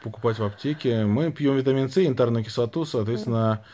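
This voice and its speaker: A man's voice: medium pitch, fast talker, dark tone. Male livestream host, thirties, mid-range voice, informal question-and-answer chat recorded on a phone. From Saint Petersburg, Russia. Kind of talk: casual chat, reading out and answering viewers' questions. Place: small room indoors, lying back on pillows. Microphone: phone at arm's length.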